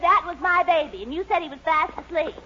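A woman's voice: very high-pitched, lively intonation, fast. An actress playing an anxious, stammering mother in a 1940s radio sitcom. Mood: alarmed. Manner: stammering, agitated. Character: anxious, flustered mother demanding her baby. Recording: muffled old radio with loud hiss.

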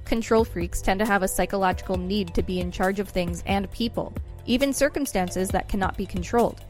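A woman's voice: medium pitch, fairly quick, darkish tone, soft background music. A woman co-hosting a podcast, reading articles aloud and commenting informally. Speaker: podcast co-host.

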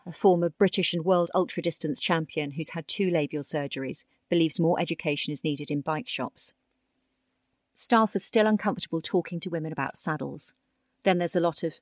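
A woman with a low-pitched voice reads a long-form magazine article as its narrator. The delivery is scripted narration, and the sound is close and dry. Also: dry recording. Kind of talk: scripted article narration, reading quotes aloud.

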